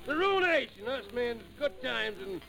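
An actor playing a boisterous, teasing backwoods drinking buddy with a rural Southern US accent, in old radio drama style. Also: male voice, very high-pitched, big pitch swings, a little slow, creaky, music in the background, full character voice, rough recording. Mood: teasing, tipsy. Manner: rowdy, folksy backwoods dialect.

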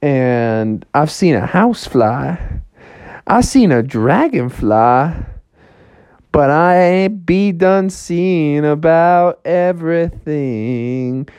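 Storyteller voicing a boastful frog champion, bragging, swaggering, lively swoops, close dry sound. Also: medium pitch, drawn-out words, clear, resonant tone, no room sound. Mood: awed. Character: boastful male frog wrestling champion, brash.

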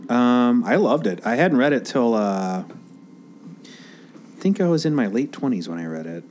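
A man's voice: mid-range voice, sing-song, a little slow, a little mellow. Wisecracking man in his thirties, faint noise. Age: 30s. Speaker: podcast co-host. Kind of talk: casual chat about comic books. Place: basement.

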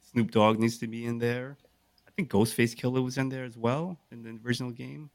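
A man's voice: medium pitch, steady tone, a little fast, darkish tone, no room sound. A man in casual podcast debate, insistent and often repeating himself to make his point. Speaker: podcast panelist.

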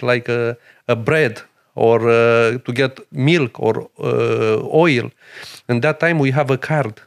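A middle-aged man from Romania with a Romanian accent, a chef and restaurant owner speaking earnest, halting English in a podcast interview. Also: medium pitch. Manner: broken English, earnest and emphatic, many pauses.

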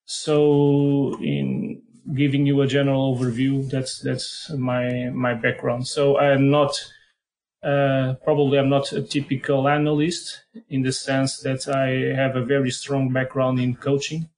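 A middle-aged man with a strong Portuguese accent speaks English as a second language. His tone stays flat and even, with little rise or fall. He stops often, restarts words and fills gaps with "uh" while he searches for them. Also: medium pitch, unhurried, rough recording. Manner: hesitant, many fillers and repeated words. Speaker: head of football performance analysis. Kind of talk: podcast interview answers.

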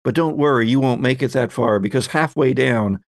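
A man's voice: medium pitch, fairly quick, dry recording, studio quality. A man narrating a tabletop game as its game master, setting scenes in descriptive detail.

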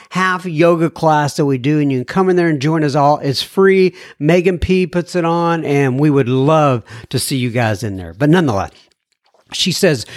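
A man's voice: fairly high pitch, dry recording, clean studio sound. A man hosting a recovery podcast, reading listener letters aloud and adding folksy asides with frequent restarts. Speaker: podcast host.

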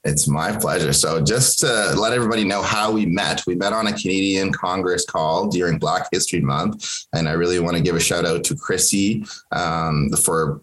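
Very deep-voiced man hosting a relaxed chat. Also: moderate pace, low-quality recording. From Kenya. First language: Swahili. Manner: relaxed and chatty, with many fillers and restarts. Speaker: podcast host. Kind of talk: conversational interview.

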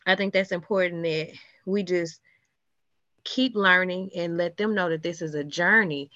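Loud lady chatting on a podcast, bright edgy voice, kinda muffled dry recording. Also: lowish voice, normal speed, dry recording. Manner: self-described loud speaker, lively storytelling. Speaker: podcast co-host. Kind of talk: casual chat. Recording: muffled lo-fi audio.

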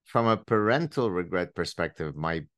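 Deep-voiced man hosting a chat. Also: lively intonation, moderate pace. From Lebanon. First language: Arabic. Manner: reflective, restarts phrases, rising to playful emphasis. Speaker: podcast host. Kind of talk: casual conversational interview.

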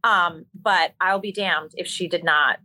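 A woman with a slightly low, bright and forceful voice tells stories as a guest in an interview. She is candid and expressive, with a bit of wry exaggeration in a loose conversational style. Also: unhurried, rough recording. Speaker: interview guest, musical theater performer.

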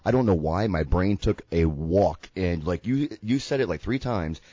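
Man, Southern, radio host, deep voice, laid-back rambling banter, stuffy nose, dull dry studio sound. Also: lively intonation, moderate pace, mellow, breathy edge, no echo. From American South. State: congested from sinuses. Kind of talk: casual radio talk show banter.

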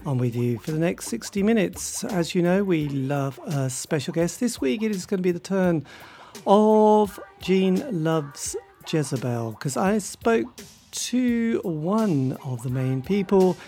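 A man's voice: high-pitched, expressive, unhurried, vocal fry, mellow, faint music behind. A man, hosting a radio interview in a rambling, chatty way. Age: 50s. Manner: chatty, rambling with many sort-ofs. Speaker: radio show host. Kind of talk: casual interview chat.

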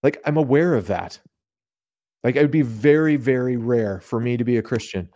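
A man's voice: mid-range voice, normal speed, mellow. A man talking casually and explaining ideas as a podcast guest, colloquial and given to voicing imagined remarks. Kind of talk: casual theological conversation.

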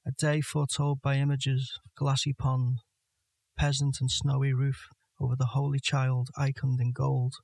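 A man's voice: mid-range voice, completely flat delivery, normal speed, creaky, darkish tone, no echo. A man reading a poem aloud as a recitation. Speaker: poetry reader. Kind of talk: poetry recitation.

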